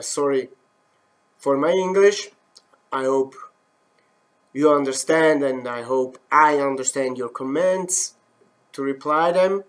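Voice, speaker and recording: Very slow, hesitant Swiss-German man. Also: mid-range voice. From German-speaking Switzerland. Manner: hesitant, many fillers and self-corrections. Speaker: professional wood turner. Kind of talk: live-stream demo talk. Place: wood turning workshop.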